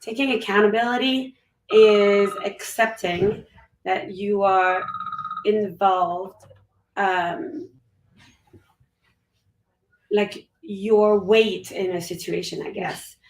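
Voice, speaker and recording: A woman's voice: medium pitch, fairly even intonation, takes it slowly, pauses often, distant mic. A woman whose first language is French talks in a candid, upbeat way, in long run-on thoughts, as a guest in casual conversation. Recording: echoey room, voice off-mic.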